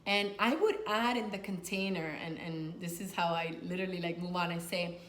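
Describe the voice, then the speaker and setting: A woman's voice: on the low side, fairly even intonation, moderate pace, a bit bright. Echoey woman coach talking informally to camera. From Dominican, grew up in New York. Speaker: sex and life coach, video host. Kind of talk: conversational coaching advice to camera. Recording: echoey room with faint noise.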